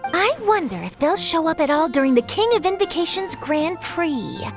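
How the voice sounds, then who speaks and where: A woman's voice: very high-pitched, sing-song, moderate pace, soft background music, full character voice. A woman playing an eager young female newspaper reporter in a video game, perky, earnest and articulate. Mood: practical, upbeat.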